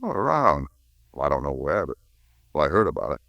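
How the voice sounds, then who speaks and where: An actor playing an evasive, cocky American small-time crook, slangy and defensive, in a 1950s radio crime drama. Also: male voice, extremely low pitch, big pitch swings, a little fast, creaky, fairly bright tone, dry recording, highs cut off, heavily acted. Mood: defensive, nervous.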